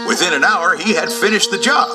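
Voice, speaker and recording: A man's voice: sits high, lively intonation, fairly quick. A man narrating a scripted read-along storybook for children. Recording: loud music behind the voice.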